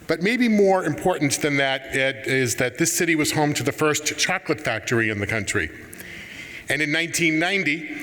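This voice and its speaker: Bright Boston-accented man on a hall stage. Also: a little high. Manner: lively, teasing buildup. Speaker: conference presenter. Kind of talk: playful trivia presentation. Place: large conference hall. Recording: hall reverb with a faint crowd.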